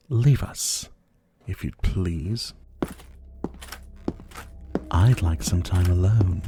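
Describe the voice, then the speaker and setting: Casting: male actor for flirty, menacing dictator, possessive and theatrical; mellow, leisurely delivery, ASMR roleplay. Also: fairly low pitch. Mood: playful, commanding. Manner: teasing, theatrical, drawn-out purring delivery.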